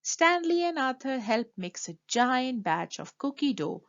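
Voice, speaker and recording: Animated, high-voiced woman reading a storybook. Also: a little slow, lo-fi sound. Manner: animated, emphatic delivery for children. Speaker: storyteller reading a children's book. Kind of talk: expressive storybook read-aloud narration.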